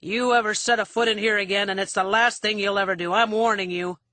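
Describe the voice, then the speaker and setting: A woman's voice: medium pitch, moderate pace, fairly bright tone, dry recording, natural voice. A woman voicing a tough, angry barman in an audiobook, curt, menacing and disgusted. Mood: threatening. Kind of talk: audiobook character acting.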